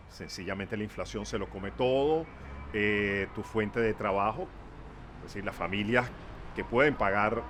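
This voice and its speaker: A man in his sixties from Venezuela, being interviewed for a documentary. He speaks in a halting way, pausing often on fillers. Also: lowish voice, a bit bright, a little hiss or hum. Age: 60s. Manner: hesitant, halting, heavy on fillers. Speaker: interviewee, father. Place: outdoors at a city street corner.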